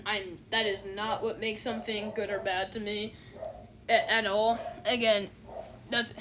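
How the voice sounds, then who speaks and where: A woman's voice: medium pitch, a bit bright, slight background noise. A woman giving a casual spoken review, rambling with many fillers and a dismissive, unimpressed manner. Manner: rambling, heavy fillers, repeats "you know what I'm saying". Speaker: online reviewer.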